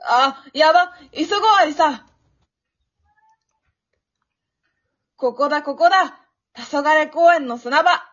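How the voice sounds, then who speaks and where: A woman acting a bubbly, pushy, affectionate teenage girl in an amateur radio drama, with a cutesy, expressive delivery. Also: high voice, moderate pace. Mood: eager, pushy. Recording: dull, lo-fi audio.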